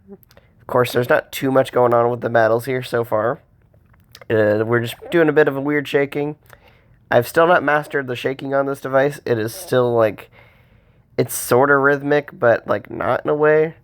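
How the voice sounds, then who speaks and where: A man with a cold casually commenting on gameplay as host, rambling with fillers and pausing for breath. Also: medium pitch. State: has a cold.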